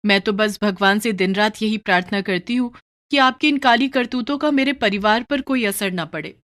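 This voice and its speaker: A woman's voice: mid-range voice, normal speed, a bit bright, pitched above the performer's own voice, lightly acted. A woman voicing a pious, worried wife in a cartoon moral story, earnest, moralizing and pleading. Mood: reproachful.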